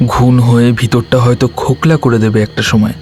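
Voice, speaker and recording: Male narrator, medium pitch, for audio horror story. Dramatic, measured reading with pauses, light music bed. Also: little pitch movement, a little mellow.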